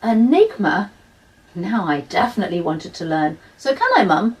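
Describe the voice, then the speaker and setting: A woman's voice: fairly low pitch, sing-song, moderate pace, darkish tone, far from the mic, poor audio. A woman reading a children's audiobook as an earnest, quirky primary-school child telling a diary in the first person. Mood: excited. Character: schoolchild Joe, earnest, quirky Year 6 diarist.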